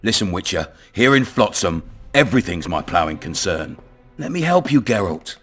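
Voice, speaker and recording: An actor with a British accent playing a crude, cunning, menacing town commandant in a video game. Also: male voice, deep voice, sing-song, moderate pace, fairly bright tone, faint music behind. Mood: swaggering menace. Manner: domineering, coarse, swaggering. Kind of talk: video game dramatic dialogue.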